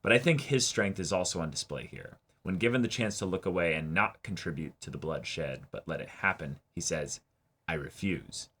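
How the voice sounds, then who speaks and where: Bright-voiced man, casual show co-host. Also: deep voice, expressive, moderate pace, breathy edge. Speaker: co-host of an anime reaction show. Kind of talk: casual animated discussion, at times reading viewer comments aloud.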